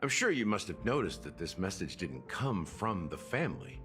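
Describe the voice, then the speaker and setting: An actor playing a suave, sly investigating officer in a video game, theatrical and knowing, fond of insinuating questions. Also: male voice, deep voice, very animated, moderate pace, over music. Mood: confident, insinuating. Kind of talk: video game voice acting, expository dialogue.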